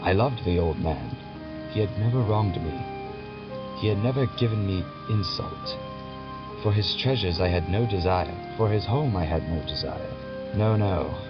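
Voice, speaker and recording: An actor playing a nervous, obsessive madman who insists he is sane, in a deliberate, intense gothic audio drama monologue. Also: low voice, animated, dull audio. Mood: obsessive, haunted. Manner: deliberate, intense, halting, emphatic repetition. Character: nervous, obsessive madman insisting on his sanity, confessing murder. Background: prominent music.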